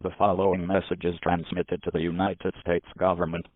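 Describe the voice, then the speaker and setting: A man's voice: low voice, flat delivery, fairly quick, no room sound. A man reading a scripted emergency broadcast message in a formal, impersonal manner, over narrow-band audio. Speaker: emergency alert announcer.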